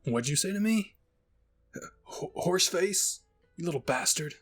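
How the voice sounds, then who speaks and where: A man's voice: high voice, animated, unhurried, breathy edge, faint music behind, voice pushed up, heavily acted. A man voicing an indignant, hot-headed teenage boy cadet in an anime story. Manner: indignant, yelling.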